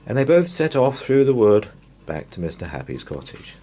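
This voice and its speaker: A man reading a bedtime story aloud to young children as a storyteller, with measured, drawn-out, expressive phrasing. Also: mid-range voice, slight background noise.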